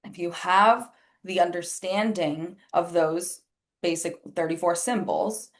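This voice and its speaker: A woman's voice: lowish voice, slow. Chatty woman, native Spanish speaker. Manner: chatty and candid, many "like" fillers, acts out quoted remarks. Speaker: astrologer and life coach, podcast guest. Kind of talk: casual interview chat.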